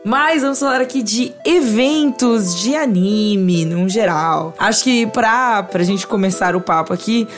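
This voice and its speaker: A chatty woman hosts a relaxed podcast conversation. She talks at an easy, middling pitch and laughs often, with faint music playing underneath. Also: measured pace, few pauses. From São Paulo, Brazil. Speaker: podcast host. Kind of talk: casual podcast chat with read ad.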